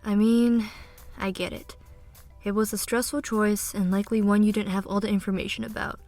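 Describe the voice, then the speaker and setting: A woman voicing a sensible, wry young illusionist student in an audio drama, measured and gently chiding. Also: medium pitch, level tone, no room sound, soft background music, lightly acted. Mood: reasonable. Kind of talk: audio drama character banter.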